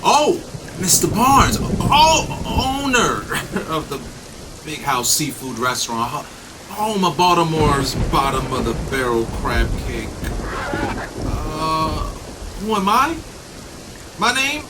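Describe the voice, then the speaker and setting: A man's voice: on the high side, big pitch swings, slow, distant mic, over a noisy crowd. A man playing a hapless, forgetful diner customer in a radio play, hesitant, stammering and dryly wry. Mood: playfully bluffing.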